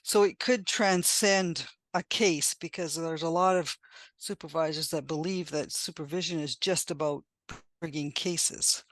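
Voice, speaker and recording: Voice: medium pitch, measured pace, a bit bright, dry recording. A participant in a coaching discussion, explaining a point fluently.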